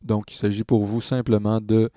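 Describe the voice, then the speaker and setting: A man with a Quebec French accent giving an informal software tutorial as a music production tutor, pausing hesitantly as he explains. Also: lowish voice, flat delivery, dry recording, studio quality.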